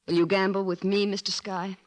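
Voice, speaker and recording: A woman's voice: mid-range voice, flat delivery, fairly quick, close to an everyday voice. A woman playing an earnest, virtuous young missionary in an old-time radio drama, intense and solemn. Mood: earnest challenge.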